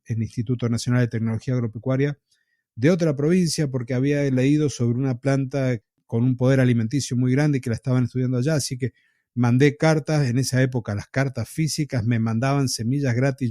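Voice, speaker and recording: A man from Argentina with an Argentine accent, a podcast host telling his own story in an unhurried, reflective way. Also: mid-range voice, no room sound, clean studio sound. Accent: Argentine Spanish. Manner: reflective, unhurried, with pauses and soft laughs. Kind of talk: casual storytelling in an interview.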